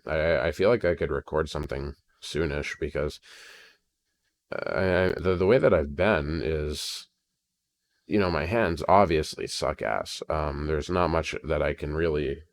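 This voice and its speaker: Very deep-voiced American man, hesitant rambling. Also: vocal fry. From Maine, United States. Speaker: video creator recording an audio log. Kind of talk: casual unscripted solo audio diary. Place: at home at a computer. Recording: crackling home computer recording.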